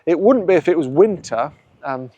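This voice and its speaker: A man hosting his own photography channel, thinking aloud in a relaxed, musing way as he works a scene outdoors on a windy coast. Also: a little high, moderate pace. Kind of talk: casual vlog narration on location.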